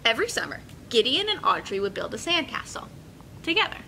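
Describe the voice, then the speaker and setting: A young woman hosting a children's storytime, speaking in an expressive, encouraging way as she reads and instructs. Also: a little fast, poor audio. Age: young adult. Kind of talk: picture-book reading and friendly instruction for children. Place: indoor children's room with carpeted steps. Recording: clear room noise, low rumble.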